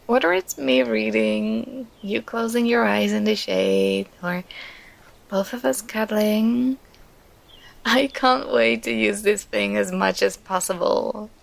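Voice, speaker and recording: Woman playing a slow, creaky-voiced, tender girlfriend. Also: medium pitch, expressive, exaggerated voice. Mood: happy, affectionate. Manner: tender, soothing, intimate, unhurried. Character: affectionate, contented girlfriend cuddling in a hammock. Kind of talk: ASMR girlfriend roleplay.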